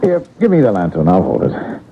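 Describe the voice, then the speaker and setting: An actor playing a plucky, wry frontier detective in an old-time radio drama, folksy and nonchalant. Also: male voice, low-pitched, huge pitch swings, fairly quick, gravelly, a little hiss or hum, heavily acted. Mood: wry, stoic. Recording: dull vintage radio recording.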